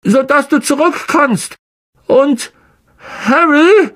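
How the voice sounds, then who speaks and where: A man reading an audiobook voices a frightened young schoolboy calling out, with a high, strained voice. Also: takes it slowly, full character voice. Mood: forced calm. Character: frightened but brave young schoolboy wizard, the friend.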